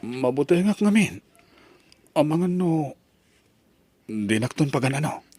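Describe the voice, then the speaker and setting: A man playing a shy, tender young college student in love, speaking softly and hesitantly in an Ilocano drama. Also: fairly high pitch, big pitch swings, takes it slowly, breathy edge, dry recording, exaggerated voice. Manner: soft, hesitant. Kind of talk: Ilocano radio-style drama acting.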